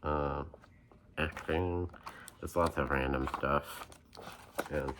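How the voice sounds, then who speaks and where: A man's voice: rumbling low, steady tone, slow, background noise. A young man chatting casually on his vlog about his book collection, rambling with lots of ums and restarts while getting over a cold with a stuffy nose. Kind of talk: casual chat.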